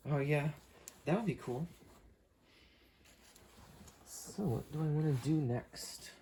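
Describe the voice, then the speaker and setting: A man's voice: a little high, expressive, slow, mellow, a little hiss or hum. A man hosting a casual unboxing video, talking through what he is handling as he goes. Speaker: host unboxing weather radios.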